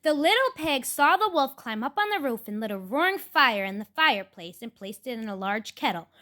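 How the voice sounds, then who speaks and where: A woman's voice: high voice, big pitch swings, brisk, sharp tone. A woman storyteller narrating expressively, as in a puppet show for children.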